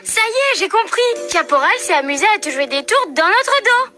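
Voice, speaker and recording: An affectionate young cartoon child, speaks in a very high, small voice. The delivery is sincere and exclamatory, with loud music underneath. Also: female voice, very animated, a little fast. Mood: puzzled, sorry. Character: earnest, affectionate young child who loves pets. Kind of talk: animated cartoon voice acting.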